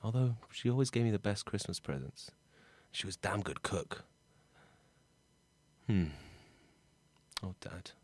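A man's voice: fairly low pitch, lively intonation, unhurried, pauses often, a little mellow. A man playing a hapless, chatty, sweary Englishman stranded abroad in an improvised comedy drama. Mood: forlorn muttering. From England. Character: Bob, hapless sweary Englishman stranded in France, missing his dad.

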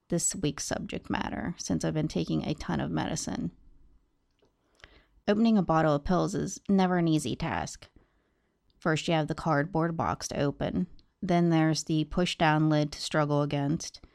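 A woman's voice: low-pitched, creaky, darkish tone, no room sound, studio quality. A woman hosting a true crime podcast, talking to listeners and narrating. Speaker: podcast host.

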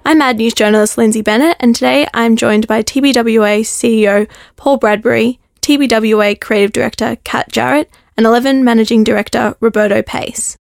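A woman hosting a podcast interview, friendly and quick to laugh between questions. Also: on the high side, fairly even intonation, creaky, no echo, clean studio sound. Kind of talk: casual interview chat.

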